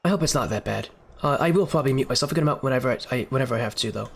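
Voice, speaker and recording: A man's voice: mid-range voice, very fast, vocal fry, breathy edge. A man with the flu casting an esports league stream, sniffling and stuffy-nosed as he fills time waiting for players. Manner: chatty filler talk while waiting. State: sick with a stuffy nose, sniffling. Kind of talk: solo stream commentary.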